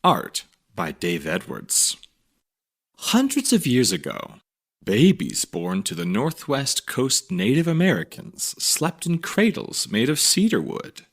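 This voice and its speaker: A man reading a scripted educational story for children in a measured, clearly phrased read-aloud manner. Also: mid-range voice, wildly swooping pitch. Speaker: narrator reading a children's magazine article.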